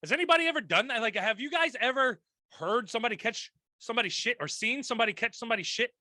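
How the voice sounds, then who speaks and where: A man's voice: very high-pitched, lively intonation, quick pace, bright, forceful tone, dry recording, clean studio sound. A man hosting a casual podcast chat, full of crude jokes and frequent laughter. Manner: crude, joking banter, laughing often. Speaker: podcast host.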